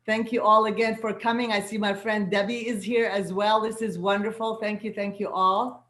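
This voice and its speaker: A woman whose first language is Arabic, speaking at a middle pitch as she leads an online spiritual gathering. Her delivery is gentle and affirming, often repeating words for emphasis. The video call carries a roomy echo. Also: flat delivery, moderate pace. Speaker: host, soul guide and coach. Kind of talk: inspirational welcome to an online gathering.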